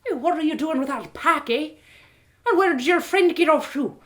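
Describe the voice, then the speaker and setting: Woman playing a brusque, scolding rustic cottager, high-pitched. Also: lively intonation, normal speed. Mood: suspicious, shouting. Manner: rustic dialect grammar, brusque.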